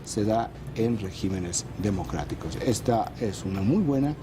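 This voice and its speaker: A man's voice: fairly low pitch, lively intonation, noisy background. Man presenting political analysis. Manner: measured, explanatory, with frequent hesitations. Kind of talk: panel talk show.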